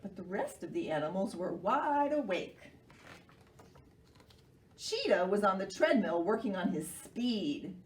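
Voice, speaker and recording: A woman's voice: medium pitch, big pitch swings, measured pace, long pauses, a little hiss or hum. A woman leading a children's storytime, reading expressively and putting questions to her young listeners. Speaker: children's library storytime reader. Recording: roomy echo, far from the mic.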